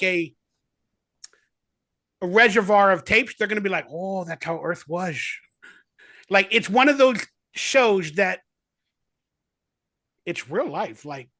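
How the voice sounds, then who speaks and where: Chatty high-voiced man in his forties. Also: pushed and bright, no room sound, clean studio sound. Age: 40s. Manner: rambling, enthusiastic, full of "like" fillers. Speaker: guest actor. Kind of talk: casual remote interview. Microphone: video call.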